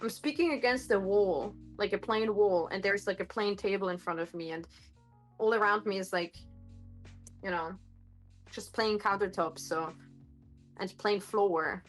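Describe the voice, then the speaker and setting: Woman with a slightly gruff voice, rambling. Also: mid-range voice, a little slow, pauses often, faint music behind, low-quality recording. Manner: rambling, many fillers and self-corrections. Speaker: streamer. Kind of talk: casual live-stream chat. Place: home kitchen with hard countertops. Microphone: phone microphone.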